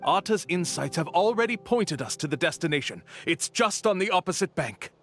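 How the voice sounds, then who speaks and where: Glib, animated game con artist. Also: male voice, high voice, moderate pace, faint music behind. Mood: glib salesmanship. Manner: theatrical, glib, smug. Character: smooth-talking, brazen male con-artist tour guide. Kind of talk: video game voice acting.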